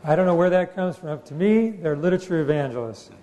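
A man's voice: on the high side, expressive, fast, mellow. An older man, a pastor, introducing a group and leading prayer in a church sanctuary with a handheld mic, in a jovial, joking way.

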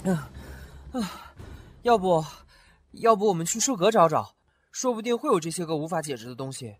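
A man's voice: sits high, expressive, moderate pace. A man voicing a curious, naive young master in an audio drama who talks eagerly and asks many questions.

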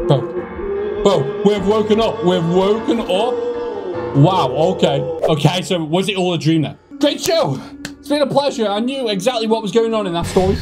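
A man with a high-pitched voice commentates a horror game playthrough. He is excitable and panicky, and tends to repeat short phrases. Loud game music plays behind him throughout. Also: normal speed. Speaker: gaming video creator. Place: indoor home gaming room.

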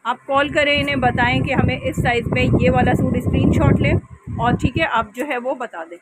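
A woman's voice: high-pitched, fairly even intonation, moderate pace, very noisy. A woman vlogger from Pakistan touring a clothing shop, praising each dress with enthusiasm and repeating what the seller says. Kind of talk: shop tour vlog, presenting dresses. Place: small clothing shop in a mall.

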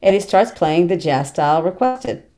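Middle-aged female narrator for a script read, lowish and soft-toned. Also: expressive, moderate pace. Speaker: narrator reading stage directions. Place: small plain room at home, on video call.

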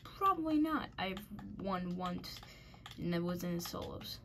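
A woman talks slowly through her gameplay, her pitch swinging widely while she mocks her own mistakes. Her bright voice drops into gravelly fry and turns a little raspy at times. A faint hiss of noise runs behind her. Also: expressive. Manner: self-mocking, frustrated, muttering. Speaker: gaming channel host. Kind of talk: casual gameplay commentary.

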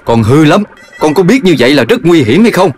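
A man voicing a stern, pompous authority figure in an animated cartoon, with an emphatic, lecturing delivery. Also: fairly high pitch, fairly quick. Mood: stern scolding. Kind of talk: cartoon voice acting.

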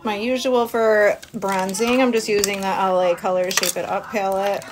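Lower-pitched woman chatting through makeup. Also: level tone, moderate pace. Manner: chatty, informal, explaining while busy applying makeup. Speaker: beauty vlogger. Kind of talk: casual makeup tutorial chat. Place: small cabin room by a window. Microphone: phone at arm's length. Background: music.

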